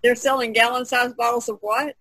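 Female podcast participant, artist. Bright, forward voice, dry close sound, casual explanatory chat on a video call. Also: fairly high pitch, little pitch movement, brisk, dry recording. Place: online video conference call, indoors.

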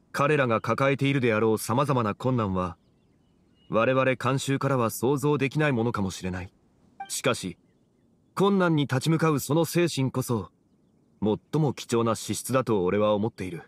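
A male actor as a composed young gentleman of an anime game. His mid-range voice is measured and courteous, with formal, old-fashioned phrasing that sounds wise beyond his looks. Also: mid-range voice, no room sound, natural voice.

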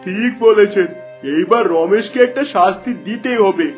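Complaining village shopkeeper played by a man: very high male voice, indignant cartoon delivery, soft music. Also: normal speed, natural voice. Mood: aggrieved, indignant. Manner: pleading, indignant.

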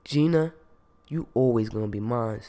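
A man reading an audiobook, voicing a jealous, possessive ex-boyfriend who accuses and shouts. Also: mid-range voice, normal speed, pitched below the performer's own voice, lightly acted. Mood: furious. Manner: loud, accusing, streetwise.

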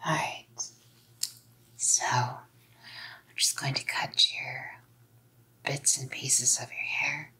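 A young woman playing a doting, controlling psycho girlfriend in a hushed, coaxing ASMR role-play. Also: a bass voice, steady tone, takes it slowly, long pauses, husky, exaggerated voice. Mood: soothing, intimate. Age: young adult. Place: small dim indoor room.

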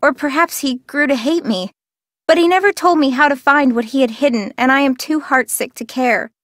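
Game role: heartsick young woman, high voice, sorrowful, formal, dry sound. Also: normal speed, fairly bright tone, dry recording. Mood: heartsick longing. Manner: earnest, sorrowful, formal phrasing. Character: heartsick young woman reading her farewell letter. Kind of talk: dramatic letter reading in a mystery game.

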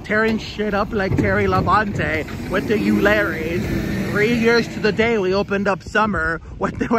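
High-voiced, excitable man vlogging outdoors. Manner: excitable, profanity-laden. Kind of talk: casual self-filmed vlog chat. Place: outdoors on a suburban street. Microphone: phone at arm's length. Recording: outdoor street noise, passing vehicles.

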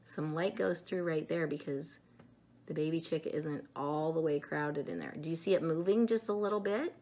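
A middle-aged woman chatting to young children in an animated, simple way in a room at home. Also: low voice, normal speed. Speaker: storyteller showing children books and eggs. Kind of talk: casual chat to children.

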